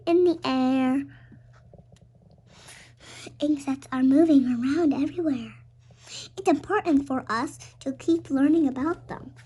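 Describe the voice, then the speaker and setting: A young girl reading a children's picture book aloud, now and then stumbling over words and repeating them. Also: high voice. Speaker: read-aloud show host.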